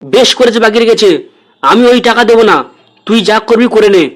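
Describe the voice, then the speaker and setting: A man's voice: high voice, fairly quick, fairly bright tone. A man voicing a grumpy, foul-mouthed older father in a comedy cartoon, scolding and indignant. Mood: combative. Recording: music behind.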